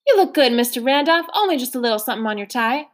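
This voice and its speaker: A woman voicing a large, loud, warm-hearted older foster mother with a hearty, booming manner. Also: expressive, fast.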